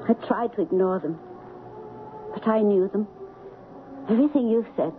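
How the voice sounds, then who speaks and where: An actress playing a noble young princess torn between love and duty, in earnest, breathy 1970s radio drama style. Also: female voice, mid-range voice, normal speed, close to an everyday voice. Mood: resigned, tender. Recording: dull, hissy old radio recording.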